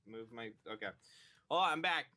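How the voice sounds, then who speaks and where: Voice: mid-range voice, lively intonation, moderate pace, sharp tone. A person chatting casually and crudely, swearing and butting in on a story, on a noisy home mic. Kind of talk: casual chat, heckling a story.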